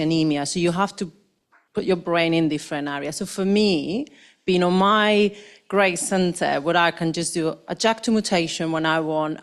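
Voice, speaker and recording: Earnest woman doctor on a panel. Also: fairly low pitch. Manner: rambling, earnest, non-native phrasing. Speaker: MPN specialist doctor. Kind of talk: panel discussion remarks. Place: conference hall.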